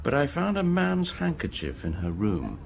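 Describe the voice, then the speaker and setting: A dry, lively-pitched local police detective. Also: male voice, moderate pace, creaky. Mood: dry, confiding. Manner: matter-of-fact reporting, dryly understated. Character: Inspector Castin, local policeman, diligent, dry and matter-of-fact. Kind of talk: radio drama acting.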